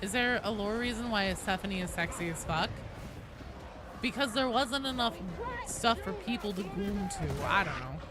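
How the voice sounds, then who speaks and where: Woman, game streamer, medium pitch, casual dry humor, sweary, clear background noise. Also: lively intonation, normal speed. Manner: informal, sweary, dryly joking. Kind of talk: casual chat with viewers, reading and answering comments.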